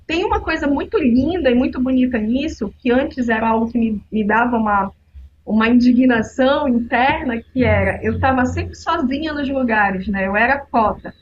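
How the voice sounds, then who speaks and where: A woman's voice: on the high side, normal speed, highs cut off, slight background noise. A woman in her forties from Belém with a Paraense accent, telling stories as a guest in a casual podcast conversation. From Belém, northern Brazil. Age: 40s. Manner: storytelling, animated. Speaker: guest, social entrepreneur.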